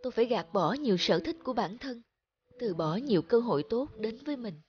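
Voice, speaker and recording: A woman playing a gentle, sorrowful young woman in a cartoon drama, speaking earnestly and plaintively. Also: a little high, lively intonation, a little fast, dull audio, a little hiss or hum, lightly acted. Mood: sad, subdued. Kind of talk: cartoon drama acting with reflective narration.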